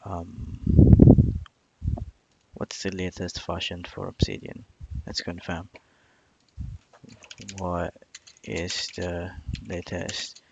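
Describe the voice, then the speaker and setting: A man on an informal live coding stream, thinking aloud in a halting way, muttering to himself and repeating phrases. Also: low-pitched, monotone, creaky, mellow, highs cut off, a little hiss or hum. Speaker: live streamer learning software.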